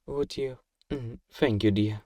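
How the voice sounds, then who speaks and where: A man playing a kind, possessive vampire master in an intimate ASMR roleplay, speaking in a gentle, genteel, coaxing and doting way. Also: mid-range voice, slow pace, no echo, studio quality, natural voice. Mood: soft, attentive. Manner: soothing, coaxing, politely doting.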